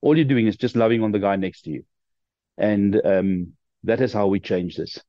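A man's voice: fairly low pitch, normal speed, mellow, no echo. A man leading a video meeting, speaking encouragingly and handing the floor back to another speaker. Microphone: video call. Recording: dull lo-fi video call audio.

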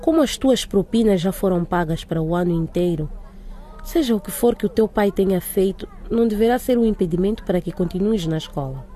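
A woman playing a firm, formal female school director in a radio drama, lecturing in measured, authoritative sentences. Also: mid-range voice, mellow, lightly acted. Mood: stern.

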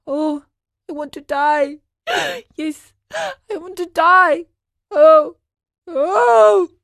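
A woman's voice: high-pitched, big pitch swings, slow pace, fairly bright tone, voice pushed up, full character voice. A woman voicing a naive, impulsive wooden marionette boy in a storybook, with an exclamatory, pleading delivery. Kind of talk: storybook character voice.

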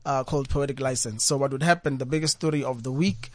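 A man's voice: medium pitch, steady tone, fast talker, a little mellow, no echo, studio quality. A South African man chatting as a guest poet in a radio interview, speaking thoughtfully and often repeating his words. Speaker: guest poet and former journalist. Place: radio studio.

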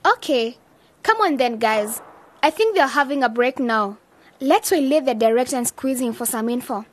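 A woman's voice: high-pitched, lively intonation, moderate pace. A woman acting in a radio drama as a bold, eager young woman, informal and forthright.

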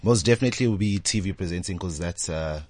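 Deep-voiced young man, easygoing guest. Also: fast talker, a little mellow, no room sound. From South Africa. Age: young adult. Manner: easygoing, modest, laughs easily. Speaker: guest, TV presenter. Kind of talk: casual interview.

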